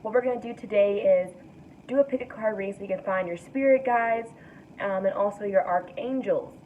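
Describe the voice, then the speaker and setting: A young woman with a raspy morning voice, giving a relaxed, soothing tarot card reading to camera as the host. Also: mid-range voice, brisk. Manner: relaxed, soothing, guides breathing. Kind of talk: casual spiritual reading to camera. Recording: tinny distant mic with faint noise.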